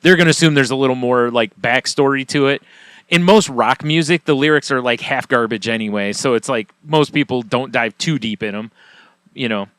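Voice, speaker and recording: Casual male podcast co-host: chatty rambler with many asides, average pitch, dead-dry room. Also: a little fast, a bit bright, clean tone, no room sound. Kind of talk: casual chat.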